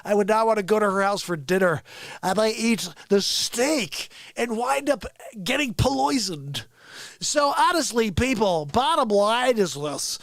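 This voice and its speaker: Man putting on a high-pitched, bombastic news crusader. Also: fairly bright tone, no echo, heavily acted. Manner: emphatic, drawn-out catchphrases. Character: loud, ranting male tabloid news crusader. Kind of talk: bombastic mock news rant.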